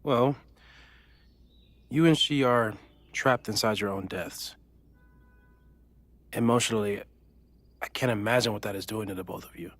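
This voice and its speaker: An actor playing an earnest, analytical and worried friend, gentle and thoughtful, in naturalistic video game dialogue. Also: male voice, lowish voice, measured pace, pauses often. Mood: concerned, thoughtful.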